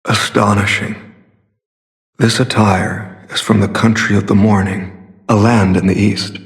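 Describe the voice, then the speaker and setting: Masked video game warrior, low-leaning male voice, gravelly fry, solemn, formal, measured, earnest, secretive. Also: flat delivery. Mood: admiring, earnest. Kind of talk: scripted game character dialogue.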